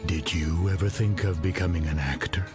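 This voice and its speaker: An actor playing a calm, enigmatic older hitman in a video game cutscene, terse and courteous. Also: deep voice, normal speed, lightly acted. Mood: cool, probing. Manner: terse, probing questions. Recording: music under the voice.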